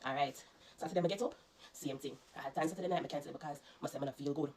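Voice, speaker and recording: A Jamaican woman with a low voice and a congested, sniffly sound talks straight to her viewers. She tells her story quickly and earnestly, repeating herself as she warns them. Faint noise sits behind her. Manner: earnest, repetitive, warning tone. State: congested, coughing. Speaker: vlogger.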